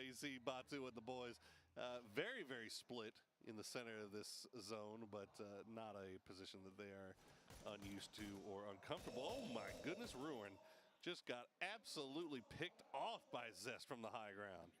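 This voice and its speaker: A man's voice: mid-range voice, lively intonation, moderate pace, fairly bright tone, a little hiss or hum. A man casting a live esports match in a chatty way, laughing often and restarting sentences as he goes. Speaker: esports caster.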